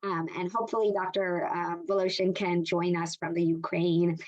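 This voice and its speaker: A woman moderating a medical webinar over a video call, measured and with frequent fillers. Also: on the low side, flat delivery, dull audio.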